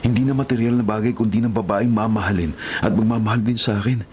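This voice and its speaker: An actor playing a humble, devoted young Filipino gardener in a radio drama, speaking earnestly and tenderly. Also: male voice, lowish voice, steady tone, fast, darkish tone, slight background noise, natural voice. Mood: dreamy, tender.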